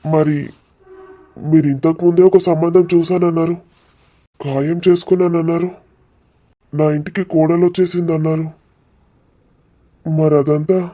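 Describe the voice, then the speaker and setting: A middle-aged, humble father in a village cartoon, played by a man. He speaks in a slightly high but very mellow voice with little melodic movement. His manner is respectful and anxious. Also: flat delivery, a little slow, long pauses, natural voice. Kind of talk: cartoon village drama dialogue.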